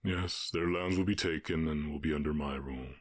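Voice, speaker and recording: A man voicing a calm, commanding orc warchief in an ASMR roleplay, with a husky voice and a measured, condescending, teasing manner. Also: no echo, clean studio sound. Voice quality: very deep, husky.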